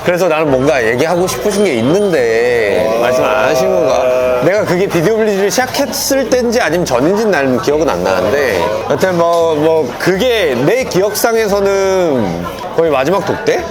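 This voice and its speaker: A man's voice: a little high, very animated, fairly quick, hardly any pauses. A man from Daegu, chatting casually with a friend over a restaurant meal and telling stories in an easygoing way. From Daegu, South Korea. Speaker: co-host. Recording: busy restaurant crowd behind.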